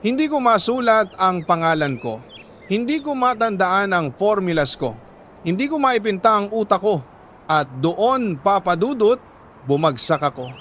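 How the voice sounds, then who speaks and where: A man with a Filipino accent narrating a first-person story in an earnest, emotive way, with deliberate pauses between short phrases. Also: high-pitched, sing-song, fairly bright tone, slight background noise. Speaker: radio drama narrator reading a letter sender's story.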